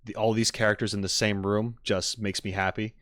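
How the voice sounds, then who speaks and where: A man giving casual livestream reaction commentary to game trailers, rambling and halting as he rephrases his thoughts. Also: fairly low pitch, flat delivery, a bit bright, no echo, studio quality.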